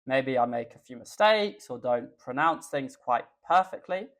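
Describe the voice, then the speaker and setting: A young Englishman with an English accent, a guest chatting in a podcast interview, relaxed and thoughtful with the odd um. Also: medium pitch, a little slow. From England. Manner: relaxed, thoughtful, with filler ums. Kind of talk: casual interview chat.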